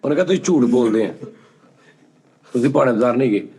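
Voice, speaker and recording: A man telling funny stories in a casual chat, animated and quick to act out quotes. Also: slight background noise. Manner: animated, jumps into high-pitched acted quotes. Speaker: storyteller guest.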